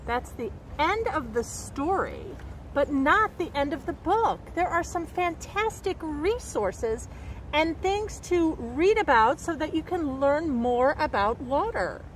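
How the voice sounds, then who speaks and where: Very high-voiced woman reading to children. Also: slow. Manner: expressive, carefully enunciated picture-book delivery. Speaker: storybook reader and nature educator. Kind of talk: read-aloud storytelling for young children. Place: outdoors by a woodland creek. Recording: outdoor background noise.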